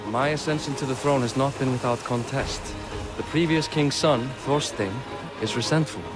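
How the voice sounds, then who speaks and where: A man's voice: mid-range voice, moderate pace, over music, close to an everyday voice. An actor playing an aging Viking king in a video game, jovial, self-deprecating and anxious, with old-fashioned folksy phrasing. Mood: worried. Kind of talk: cinematic video game acting.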